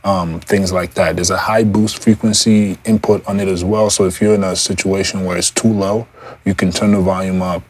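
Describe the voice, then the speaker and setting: Deep-voiced man rambling through a gear review. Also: flat delivery, moderate pace. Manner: loose and rambling, many fillers and restarts. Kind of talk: casual product review. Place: indoor room. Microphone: lavalier.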